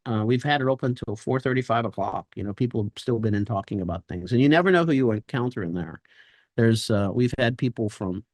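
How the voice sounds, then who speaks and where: A man's voice: medium pitch, no echo. An American man hosting an online class as an instructor, talking informally and loosely in a rambling, conversational way. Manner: loose, rambling, conversational, often tagging "right?". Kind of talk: informal live webinar presentation.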